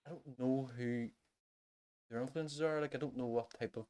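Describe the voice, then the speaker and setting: A man with a Northern Irish accent chatting casually as a music podcast host, in a halting way that circles back to stress his points. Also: mid-range voice, fairly even intonation, warm tone. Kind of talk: casual chat.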